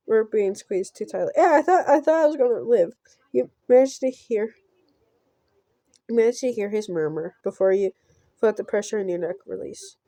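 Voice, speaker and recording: A woman doing a casual let's play, reading a visual novel aloud haltingly and breaking off for offhand reactions. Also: fairly high pitch, mellow, no echo.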